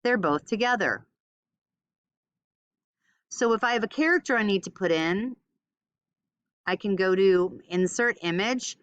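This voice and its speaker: A woman teaching a step-by-step software tutorial informally, thinking aloud as she demonstrates. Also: mid-range voice, animated, a little slow, pauses often, dry recording, lo-fi sound. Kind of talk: casual screen-recorded step-by-step tutorial.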